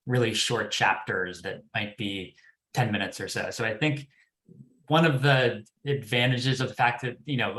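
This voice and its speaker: Halting man in a roomy, echoey space. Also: fairly low pitch, a bit bright. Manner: hesitant, halting, repeats words. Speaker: podcast development executive on a panel. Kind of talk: unscripted panel discussion. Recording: roomy, echoing sound.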